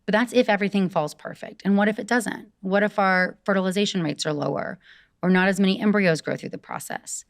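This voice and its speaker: A woman fertility doctor explaining clearly in an interview, walking through the numbers step by step. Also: medium pitch, brisk, no echo, studio quality.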